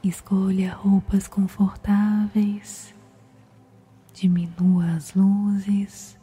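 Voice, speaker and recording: A woman with a Brazilian accent softly whispers a guided sleep meditation in a slow, soothing, gentle manner. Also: mid-range voice, little pitch movement. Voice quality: breathy, whispery. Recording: music behind the voice. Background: meditation music.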